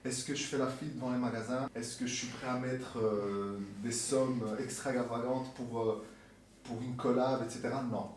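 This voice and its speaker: A man chatting casually in an interview as a musician guest, relaxed and mixing in English slang, recorded in a sneaker store. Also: mid-range voice, moderate pace, a little hiss or hum. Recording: echoey store from far off.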